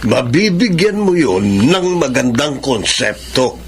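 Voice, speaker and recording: An actor with a Filipino accent playing a firm, fatherly prison warden in a radio drama, measured and formal. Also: mid-range voice, very animated. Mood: encouraging. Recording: noisy radio drama broadcast.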